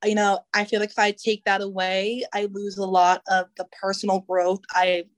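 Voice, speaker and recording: A woman's voice: mid-range voice, little pitch movement, moderate pace. Earnest woman guest sharing her story. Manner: earnest, reflective. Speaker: podcast guest. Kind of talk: casual interview.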